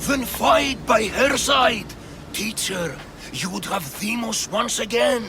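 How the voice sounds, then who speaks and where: High, gravelly, pompous villain. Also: male voice, animated, slow, heavily acted. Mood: persuasive, grandiose. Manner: pompous, self-glorifying. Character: grandiose, power-hungry Athenian leader villain. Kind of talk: dramatic video game cutscene acting. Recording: loud music behind the voice. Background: loud music.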